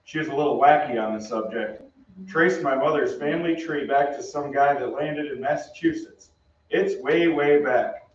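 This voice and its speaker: A man's voice: fairly high pitch, normal speed, a little hiss or hum, lightly acted. A man playing a brash young American soldier in an old-time radio play, with breezy, slangy delivery. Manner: breezy, slangy, hesitant in bursts. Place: theatre stage. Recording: very echoey stage, distant mic, muffled.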